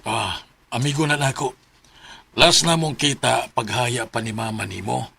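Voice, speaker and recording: A man's voice: mid-range voice, measured pace, gravelly, sharp tone, husky, heavily acted. A man playing an affectionate middle-aged father in a radio drama, with a Cebuano accent. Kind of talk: Filipino radio drama acting.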